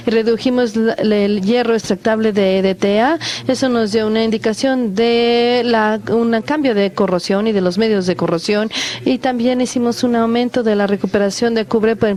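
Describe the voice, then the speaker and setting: Halting woman interpreting a technical talk. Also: mid-range voice, darkish tone. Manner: halting, with many pauses and restarts. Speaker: simultaneous interpreter at a conference.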